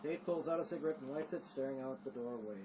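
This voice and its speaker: A man with a mid-pitched voice reads stage directions as a narrator. The delivery is scripted present-tense narration. The recording has clearly audible room noise. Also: quick pace.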